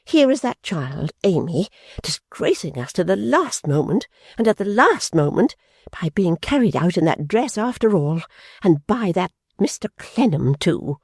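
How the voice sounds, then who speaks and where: A man's voice: high-pitched, very animated, a little slow, a little mellow, breathy edge, no echo, clean studio sound, pitched above the performer's own voice, full character voice. A man voicing a vain, indignant young woman who scolds and frets in a haughty way.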